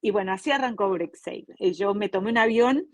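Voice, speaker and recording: A woman's voice: medium pitch, brisk, no room sound, studio quality. A woman from Argentina with an Argentine Spanish accent, a company co-founder explaining her business in a fluent, businesslike way during an online interview. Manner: fluent, businesslike storytelling with brief fillers.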